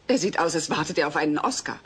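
A woman's voice: sits low, expressive, quick pace, background noise. An actress playing a no-nonsense, wry middle-aged mother acting as judge in a German comedy audio drama, firm and dryly sceptical.

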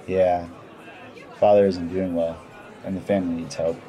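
An actor playing a gruff but kind dwarven berserker in a fantasy audio drama, terse, dry and self-deprecating. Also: male voice, low-pitched, normal speed, crowd noise, lightly acted. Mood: resigned. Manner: terse, deadpan.

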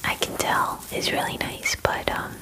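A woman in her twenties whispering an ASMR roleplay as a friendly werewolf groomer, in a breathy, hesitant, chatty way, close to the microphone. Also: unhurried. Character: friendly young female werewolf salon groomer. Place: small indoor room at home. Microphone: close microphone, face near camera.

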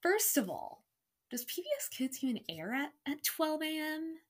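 A woman's voice: high voice, big pitch swings, normal speed. A young adult woman casually reading her own story aloud with self-mocking, incredulous commentary. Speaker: online reader of own stories.

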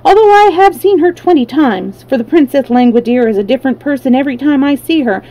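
A high-pitched, pleading male creature from a storybook, acted out by a woman. He is eager and anxious, a harmless soul who once pretended to be fierce, and explains himself earnestly in a mellow tone. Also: lively intonation, quick pace, rough recording. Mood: earnest explaining.

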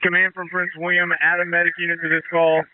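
A man's voice: high voice, monotone, fast talker, clean tone, dry recording. A man giving terse, urgent orders as fire incident commander over a two-way radio. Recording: tinny band-limited two-way radio traffic.

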